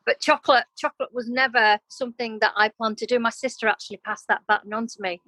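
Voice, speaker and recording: A woman's voice: fairly high pitch, fairly even intonation, fast, bright, forceful tone, no echo, low-quality recording. A middle-aged woman with a Yorkshire accent touched by Welsh, chatting openly and expressively as an interview guest. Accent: Yorkshire with some Welsh influence. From Yorkshire and North Wales, UK. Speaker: interview guest, creative business owner. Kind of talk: conversational personal storytelling.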